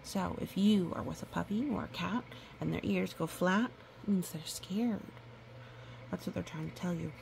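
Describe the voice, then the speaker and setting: A woman reading a picture book aloud to a young child, animated and repeating words for emphasis, with explanatory asides. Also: fairly low pitch, normal speed, vocal fry, slight background noise, low-quality recording.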